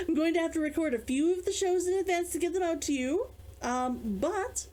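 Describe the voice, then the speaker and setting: A woman author hosting a read-along, chatting in an excitable, giggly way. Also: very high voice, poor audio. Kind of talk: chatty intro and story reading.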